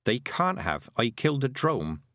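A man's voice: mid-range voice, sing-song, a little slow, bright, forceful tone, no room sound, studio quality. A man voicing a determined young girl who speaks urgently and firmly. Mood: earnest. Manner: urgent, earnest, firm.

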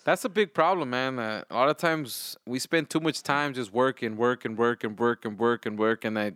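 A man's voice: mid-range voice, unhurried, a bit bright, dry recording, clean studio sound. A Mexican-American man hosting a casual podcast, switching between English and Spanish with a loose, profane way of talking. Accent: Mexican-American, mixing English and Spanish. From United States. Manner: loose and profane, switching languages mid-sentence. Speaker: podcast host.

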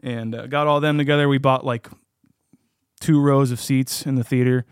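Male podcast guest, mid-pitched, informal and joking conversational delivery, dry recording. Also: fairly even intonation, normal speed, clear, resonant tone, no room sound. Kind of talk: casual podcast chat about films.

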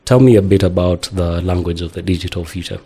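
Deep-voiced male interviewer. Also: fast, slightly rough. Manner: affirming, calm questioner with many backchannels. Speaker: host. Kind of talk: podcast interview.

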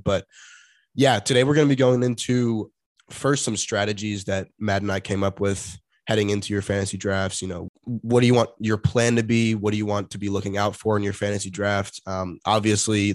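A congested man co-hosts a casual sports podcast. His voice sits low and barely moves in pitch. The sound is dry, with no room reflections. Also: no room sound. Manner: monotonous tone. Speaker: podcast co-host.

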